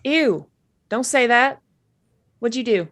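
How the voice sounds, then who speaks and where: A woman's voice: a little high, lively intonation, slow pace, fairly bright tone, no echo. A woman playing a blunt, sardonic, unimpressed girlfriend in a comedy table read. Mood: dry, unimpressed. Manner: blunt, dry, dismissive.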